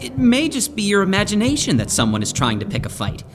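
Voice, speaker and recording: Casting: man as sensible teen idol, high male pitch, very swooping delivery, reasoning, anime dub. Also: huge pitch swings, fast talker. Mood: cautioning. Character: level-headed teenage boy idol, the group's voice of reason. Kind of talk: anime fan dub acting. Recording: music under the voice.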